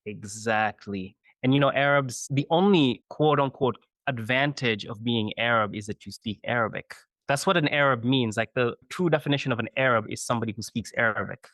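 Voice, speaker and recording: Chaplain guy on a podcast, mid-pitch, explains things patiently, says "you know" a lot, dry close mic. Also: a little fast, few pauses, fairly bright tone, dry recording. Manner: thoughtful, explanatory, frequent "you know" and "right?". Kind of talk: conversational interview.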